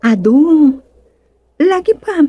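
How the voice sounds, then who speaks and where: An actress playing a calm, knowing envoy of the afterlife, speaking in a teasing, deliberate way in a comedic radio drama. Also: female voice, sits high, dry recording, dull audio.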